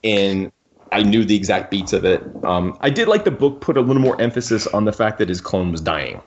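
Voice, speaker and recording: Man, lowish voice, casual podcast co-host, conversational, slightly muffled lo-fi sound. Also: very animated, fairly quick, a little hiss or hum. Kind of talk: casual film and book discussion.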